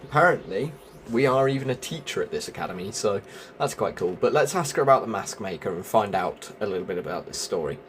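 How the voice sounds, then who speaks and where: A man's voice: fairly low pitch, lively intonation, fast talker, a bit bright, a little hiss or hum. A man giving casual walkthrough commentary as the host of a gaming video. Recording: music behind the voice.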